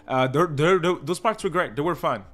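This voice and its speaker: A man's voice: fairly high pitch, fairly quick. A man with a French accent, co-hosting a casual podcast and rambling through his thoughts with restarts. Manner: relaxed, rambling with restarts and laughter. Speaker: podcast co-host. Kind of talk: casual chat.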